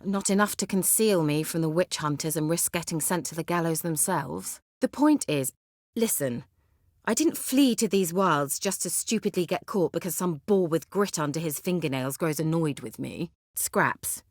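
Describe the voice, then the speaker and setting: Snooty sorceress for a fantasy video game, sardonic n teasing, crisp wording, very dry studio sound. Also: female voice, sits low, animated, normal speed, no echo. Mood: explaining, irritated. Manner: haughty, wry, teasing, articulate. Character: haughty, sardonic, sly sorceress in a fantasy world.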